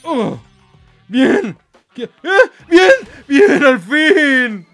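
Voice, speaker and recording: A young man giving casual, excitable, foul-mouthed commentary as host of a gameplay video. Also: very high voice, very slow. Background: game music.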